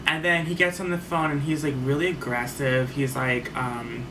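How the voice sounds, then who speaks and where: A man's voice: medium pitch, level tone, normal speed. A man telling a personal story on his vlog in a casual, rambling way, full of fillers and restarts. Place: at home. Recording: faint far-off mic with noticeable background noise.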